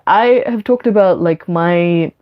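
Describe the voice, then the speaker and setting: A woman's voice: on the low side, lo-fi sound. A young woman live streaming, talking candidly and rambling with many fillers and restarts.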